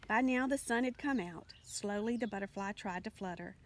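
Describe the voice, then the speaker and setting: A woman reading a children's picture book aloud as an expressive storytelling narrator. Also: medium pitch, normal speed. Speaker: storybook reader.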